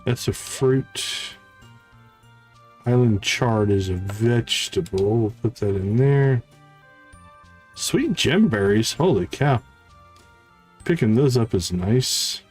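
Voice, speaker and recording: A man giving casual live video game commentary, chatting and thinking out loud. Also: mid-range voice, animated, slow, pauses often, husky, faint music behind. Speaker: gaming streamer.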